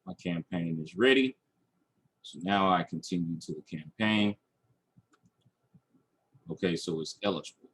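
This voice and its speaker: Male online marketing instructor, slightly low pitch, very unhurried delivery, walking viewers through on-screen steps. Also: fairly bright tone, slightly rough. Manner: instructional, checking in with "okay" and "all right". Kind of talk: screen-share tutorial, talking through steps aloud.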